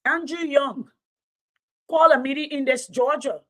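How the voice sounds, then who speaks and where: High-voiced, blunt Liberian woman commentator. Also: steady tone, moderate pace. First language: Mano and Gio. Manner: blunt, emphatic, repeating phrases for effect. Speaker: online talk show host and storyteller. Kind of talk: unscripted political commentary to livestream viewers.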